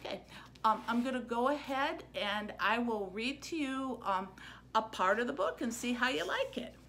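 A middle-aged American woman giving an informal book talk to camera as a librarian, halting and full of ums. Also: fairly high pitch, expressive, sharp tone. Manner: halting, hesitant, with very frequent ums. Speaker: librarian and book-stream host. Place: small plain indoor room. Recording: noticeable background noise, room ambience.

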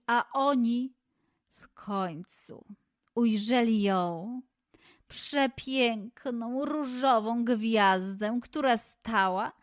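Slow, expressive woman storyteller. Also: medium pitch, no room sound, clean studio sound. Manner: expressive, deliberate. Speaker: children's storyteller. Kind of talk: scripted fairy-tale narration for children.

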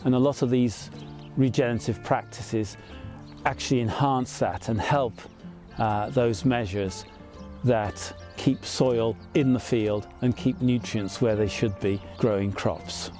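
Farmer talking in an open field. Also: male voice, mid-range voice, darkish tone, faint music behind. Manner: measured, plain explanatory talk with small fillers. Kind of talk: explaining farm practices on camera. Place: open farm field outdoors.

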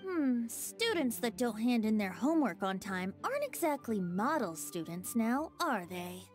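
A man's voice: very high-pitched, very animated, unhurried, heavily acted. A performer voicing a playful, carefree young male bard in a video game. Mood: teasing. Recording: game audio with soft music.

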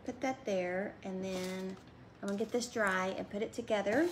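A woman's voice: mid-range voice, normal speed, slight background noise. A woman in her thirties chatting her way through a home craft demonstration at a table, talking as she works. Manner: chatty, talks while working with her hands. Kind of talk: casual how-to craft demo.

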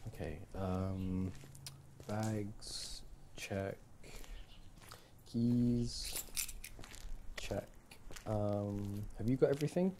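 A young man performing casual ASMR boyfriend roleplay as an easygoing, affectionate partner, talking in a hesitant, halting way. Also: lowish voice. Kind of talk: ASMR boyfriend audio roleplay, casual one-sided chat. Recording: clearly audible background noise.

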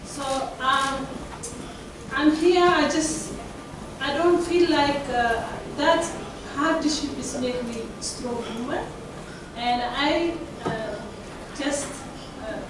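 Halting woman speaker in an echoey hall. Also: on the high side. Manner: halting, with many pauses and fillers. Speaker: panel speaker, women's group founder. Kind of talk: personal story at a panel talk. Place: large conference room. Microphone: handheld mic, heard from far. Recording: echoey room, distant, loud noise.

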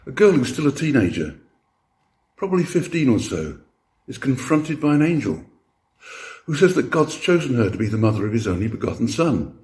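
Mellow older man preaching, very animated pitch. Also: brisk. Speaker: lay preacher. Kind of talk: scripture reading and sermon. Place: church interior.

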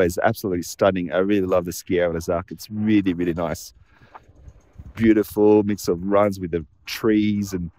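A man's voice: lowish voice, darkish tone. A man, giving a frank, chatty vlog walkthrough of a ski resort, filming himself outdoors on a phone. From half French, half Australian. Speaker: ski travel agency founder, vlogger. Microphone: handheld phone at arm's length, selfie.

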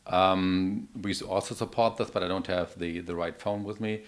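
Very deep-voiced man demonstrating a product. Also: fairly even intonation, normal speed. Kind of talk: live product demo.

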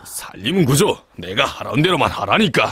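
A bossy eldest son. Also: male voice, medium pitch, sing-song, a little fast, fairly bright tone, husky, heavily acted. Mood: assured. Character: eldest son, confident young farmer.

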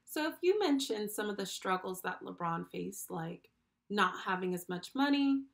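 A woman's voice: medium pitch, normal speed, gravelly. Young adult woman, children's educator, mid-range voice, upbeat encouragement, direct address. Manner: encouraging, animated praise. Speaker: children's read-along host and school speech therapist. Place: small furnished room at home, indoors.

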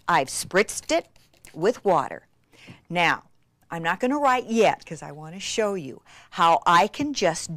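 A woman giving an excitable, gushing craft demonstration, with breathy exclamations and words repeated for emphasis. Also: mid-range voice, no echo, clean studio sound. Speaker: craft instructor demonstrating techniques. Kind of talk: enthusiastic hands-on craft demonstration.

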